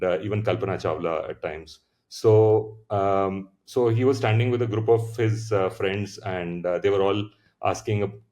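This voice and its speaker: Indian founder, thirties, very low voice, reflective and halting, casual interview storytelling. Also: male voice, a little mellow. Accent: Indian English. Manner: reflective, many fillers and restarts. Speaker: founder, podcast guest.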